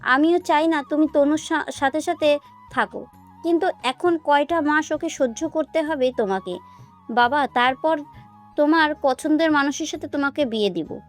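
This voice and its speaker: A woman's voice: high voice, normal speed, faint music behind, close to an everyday voice. A woman playing a doting, scheming middle-aged mother in an audio love story, fussing and coaxing. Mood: coaxing, conspiring.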